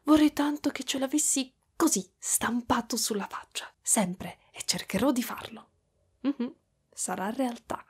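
A doting monster mother, half spider played by a woman, coos in a fairly high, breathy voice. She is clingy and tender, drifting toward whispers as in an intimate ASMR roleplay. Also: expressive, unhurried, heavily acted. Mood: soothing, affectionate. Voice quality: soft, breathy with whispery stretches. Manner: cooing, affectionate, giggly, pet names.

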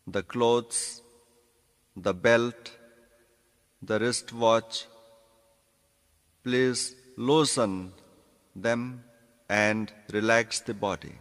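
Male meditation guide, mid-pitched, deliberate short phrases at a very slow pace, spacious reverberant sound. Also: fairly even intonation, fairly bright tone. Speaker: yoga nidra instructor. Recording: long reverberant hall echo.

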